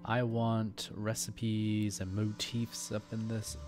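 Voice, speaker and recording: A man with a fairly low voice comments casually on a game he is playing. He is offhand, joking and slangy. Game music is clearly heard behind him. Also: flat delivery, takes it slowly. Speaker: gaming video host. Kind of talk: casual let's play commentary. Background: game music, keyboard clicks.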